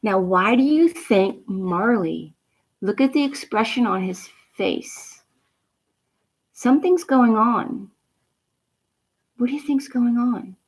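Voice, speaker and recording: Slow, higher-voiced woman reading a bedtime story. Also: animated, breathy edge. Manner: slow, emphatic, teacherly questioning. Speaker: storyteller reading a picture book to children. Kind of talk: read-aloud bedtime story with asides and questions to young viewers.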